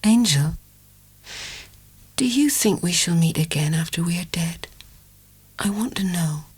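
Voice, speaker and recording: Man voicing a breathy, whispering young country woman. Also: sits high, expressive, pitched above the performer's own voice, full character voice. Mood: anxious, sorrowful. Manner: soft, halting murmur. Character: tender young country woman, weary and gently pleading. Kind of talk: dramatic audiobook reading.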